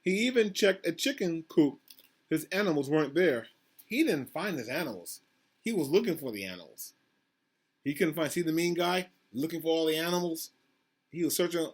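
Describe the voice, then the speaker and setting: Casting: male principal doing a children's read-aloud, slightly raised pitch, conversational asides, questions to the listeners. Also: lively intonation, normal speed. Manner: addresses children directly, asks questions, repeats himself. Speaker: school principal reading aloud.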